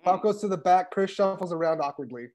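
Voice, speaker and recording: A woman's voice: on the low side, lively intonation, a little fast, dark tone. A woman reading scripted stage directions as narration for a table read. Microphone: video call.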